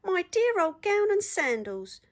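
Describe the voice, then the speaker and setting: A woman's voice: very high voice, very animated, a little slow, voice pushed up, heavily acted. A woman voicing a kind, cheerful male giant in a storybook, hearty and exclamatory. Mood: delighted.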